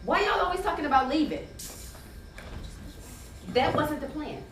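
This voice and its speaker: A woman's voice: sits high, expressive, normal speed. A woman playing a brash, loyal street-wise friend in a stage play, slangy and outspoken. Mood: hurt, confrontational. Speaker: stage actor. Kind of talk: live 1990s-set stage play dialogue. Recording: distant, echoey, noisy stage recording.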